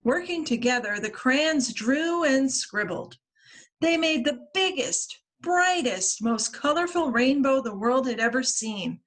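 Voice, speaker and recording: A woman with a fairly high voice reads a picture book aloud for young children. Her pace is very slow, and her phrasing is deliberate and expressive, as a librarian's at story time. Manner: expressive, deliberate phrasing with acted-out character lines.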